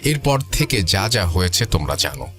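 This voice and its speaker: Deep-voiced man narrating over music. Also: very animated, normal speed. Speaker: horror story narrator. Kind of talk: scripted ghost story narration with acted quotes. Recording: music bed under the voice. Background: background music.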